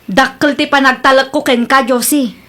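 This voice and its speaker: A woman's voice: high-pitched, fairly even intonation, normal speed, sharp tone. A woman playing Sally, an earnest older sister who was wronged, speaking firmly in a radio drama. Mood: confronting. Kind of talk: Ilocano radio drama acting.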